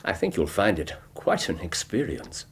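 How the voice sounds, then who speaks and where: An actor playing a brilliant, self-assured English gentleman detective in a radio drama, lecturing precisely with theatrical emphasis. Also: low-pitched, big pitch swings, normal speed. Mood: emphatic.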